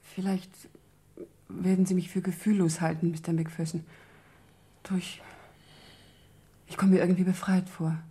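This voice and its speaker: An actress playing a subdued, troubled young woman in a German crime radio play, speaking softly and haltingly in a breathy, husky voice. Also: on the low side, flat delivery. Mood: sad, halting. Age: young adult. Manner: halting, soft-spoken.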